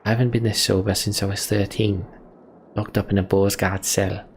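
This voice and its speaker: A man's voice: on the low side, little pitch movement, moderate pace, slight background noise, natural voice. A man voicing a hard-drinking, roguish axe-thrower from a fantasy game, with sardonic, grumbling, colloquial talk. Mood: wry, boastful.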